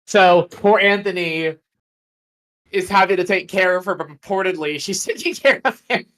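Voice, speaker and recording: Man, slightly high voice, enthusiastic, rambling, casual livestream chat. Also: moderate pace, fairly bright tone.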